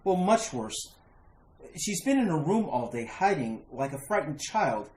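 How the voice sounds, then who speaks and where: A man's voice: a little high, big pitch swings, a little slow, darkish tone. A man playing a worried, reasonable husband with an earnest, hesitant manner in a retro radio horror play. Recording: roomy, echoing sound.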